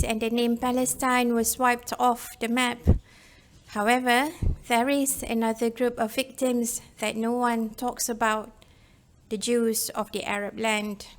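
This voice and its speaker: A woman reading a scripted book review as a narrator. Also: on the high side.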